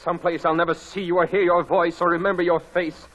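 A man's voice: sits high, flat delivery, fast, fairly bright tone. An actor playing a proud, possessive, wounded young stable boy in an intense, commanding 1940s radio drama style. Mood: furious. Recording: noisy old radio broadcast recording.

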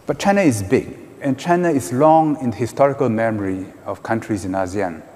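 A man on a conference panel, arguing his points in a measured, emphatic way with hand gestures. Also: medium pitch, darkish tone. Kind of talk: panel discussion. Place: large conference hall stage. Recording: reverberant conference hall.

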